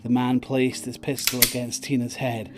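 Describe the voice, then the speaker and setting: A man's voice: medium pitch, level tone, moderate pace, background noise. A man reading scripted dramatic narration as the narrator of an audio drama. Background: prominent music.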